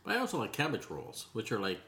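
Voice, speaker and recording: A man's voice: fairly low pitch, wildly swooping pitch, quick pace, bright, forceful tone. A man, a podcast co-host making wry, casual tasting commentary. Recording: faint background noise.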